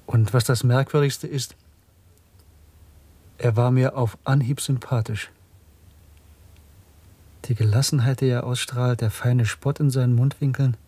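An actor playing a middle-aged secret dissident, earnest, reflective and intense, in a German radio drama. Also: male voice, mid-range voice, steady tone, moderate pace, pauses often, mellow, slightly rough, no room sound, natural voice. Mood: confiding, intrigued.

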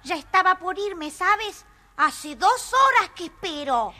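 A female stork from a children's audio story. She scolds impatiently in a high, extremely sharp squawk. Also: big pitch swings, takes it slowly, full character voice. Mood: scolding.

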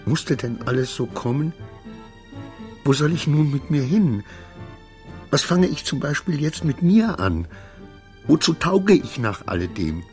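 An actor playing a distraught, obsequious man losing his grip in a radio play, rambling and questioning himself in formal, old-fashioned phrases. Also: mid-range voice. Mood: desperate, brooding.

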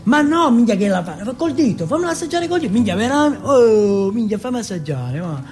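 A man voicing an excitable, streetwise teenage boy in a stage monologue, slangy, insistent and full of swearing. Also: high voice, a little fast, pitched above the performer's own voice. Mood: excited, urgent. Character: excitable, foul-mouthed streetwise teenage boy from housing projects.

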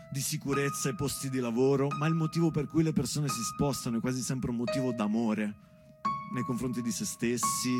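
A man's voice: medium pitch, darkish tone, no room sound. A man performing an earnest, dramatic narrative monologue as a stage actor, addressed to his child. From Italy.

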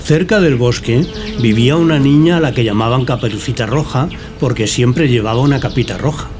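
A man's voice: mid-range voice, a little fast, background noise. A man from narrating a scripted children's story.

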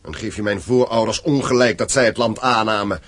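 Stern, husky low-voiced mine boss. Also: male voice, a little fast, a bit bright, no echo. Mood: indignant, threatening. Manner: curt, authoritative, threatening. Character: stern, wealthy copper mine owner, accusing and proud. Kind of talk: radio drama acting.

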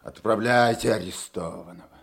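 A commanding male chief investigator in a Russian radio play. His fairly low, husky voice carries stern, sardonic authority. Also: moderate pace. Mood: stern, angry. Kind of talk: Russian radio drama acting.

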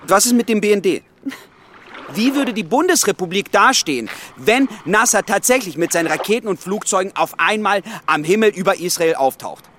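An actor playing an earnest young male intelligence informant in a German radio drama, speaking deliberately and insistently. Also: sits high, lively intonation, a bit bright, a little hiss or hum.

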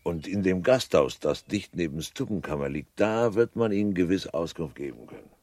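A very low, dry-sounding man of the seaside. His pitch moves in lively swings as he gives deferential, leisurely advice. He comes across as folksy and obliging. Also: moderate pace, dry recording. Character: obliging male seaside local advising a lady on lodgings. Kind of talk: literary radio play acting.

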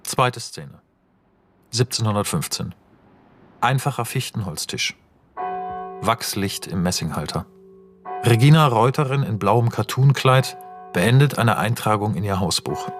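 A man reading scripted radio narration in a measured, literary manner. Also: fairly low pitch, animated, soft background music. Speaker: narrator reading screenplay and essay.

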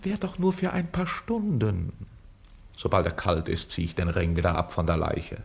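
An actor in a radio play as a sly, fawning, mocking servant who wheedles and stammers with calculated hesitation. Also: male voice, deep voice, lively intonation, moderate pace, creaky. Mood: sly, coaxing. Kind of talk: theatrical radio play acting.

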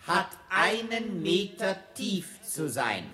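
A man playing a stern official in a radio play, proclaiming plague rules in a measured way. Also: high voice, big pitch swings, heavily acted. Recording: echoey room.

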